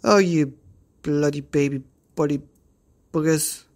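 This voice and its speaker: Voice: medium pitch, unhurried, a little mellow, natural voice. A storyteller voicing a bold, tough little girl hero who bosses and scolds the bullies. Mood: bossy. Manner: bossy, scolding.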